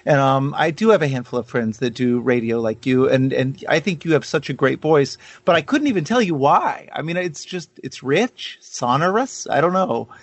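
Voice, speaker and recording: A man's voice: mid-range voice, lively intonation, normal speed, few pauses, no room sound. A man hosting a casual interview, informal and enthusiastic as he chats with his guest. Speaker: podcast host and interviewer. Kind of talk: casual chat.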